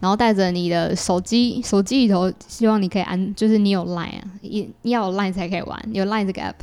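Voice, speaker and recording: A woman's voice: medium pitch, fairly even intonation, quick pace, a little mellow, clear, resonant tone. A woman with a Taiwanese Mandarin accent, explaining things in a casual interview as a podcast guest. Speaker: podcast guest, creator of an audio theatre work.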